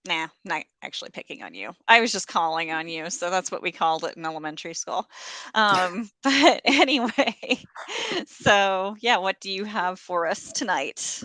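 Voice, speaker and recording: A woman's voice: fairly low pitch, moderate pace, bright, forceful tone, dry recording. A woman hosting a live reading event, talking casually in a chatty, informal, slightly scattered way. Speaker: host of live reading show. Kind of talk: casual chat.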